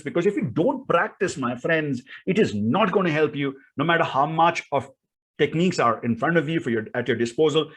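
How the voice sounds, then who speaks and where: A man's voice: a little high, husky. A man with an Indian accent giving an instructional talk as a speaking coach, emphatic and repetitive, often checking in with the listener. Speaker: voice and public speaking coach, presenter.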